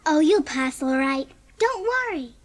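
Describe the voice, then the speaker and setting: Very high-pitched, gushing young girl. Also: very animated. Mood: fond, reassuring. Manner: gushing, drawn-out exclamations. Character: excited, devoted young girl, the heroine's best friend.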